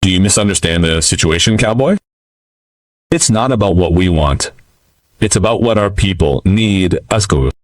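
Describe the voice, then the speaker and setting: A man reading the lines of a young woman healer, speaking softly and patiently. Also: sits low, lively intonation, normal speed, no room sound, far from the mic. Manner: soft, patient.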